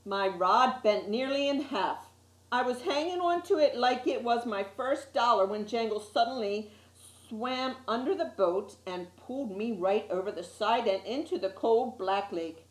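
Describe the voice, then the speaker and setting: A woman's voice: on the high side, lively intonation. Woman reading a storybook in an echoey room. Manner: dramatic, deliberate storytelling with emphasised words. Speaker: storytime reader. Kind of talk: expressive children's picture book reading. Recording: roomy, echoey sound.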